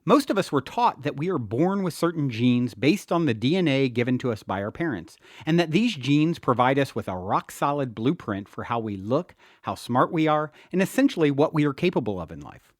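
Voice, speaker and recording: A man's voice: mid-range voice, big pitch swings, fairly quick, few pauses, no room sound, clean studio sound. A man reading his own nonfiction book aloud as an audiobook narrator, explaining ideas expressively with a wry touch.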